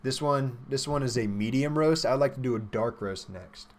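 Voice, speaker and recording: A man's voice: medium pitch, moderate pace. A man in his twenties hosting a livestream, chatting informally and thinking aloud as he reads and answers viewer questions. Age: 20s. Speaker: livestream host, software developer.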